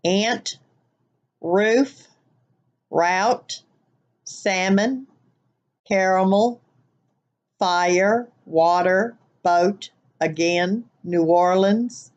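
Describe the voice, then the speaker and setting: A woman's voice: mid-range voice, drawn-out words, long pauses, dull audio. An older woman with a mixed Southern and Wisconsin nasal accent, chatting casually and a bit rambly to her webcam from her living room couch. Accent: Southern US mixed with Wisconsin nasal twang. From American Midwest. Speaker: vlogger. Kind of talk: casual chat, accent tag video.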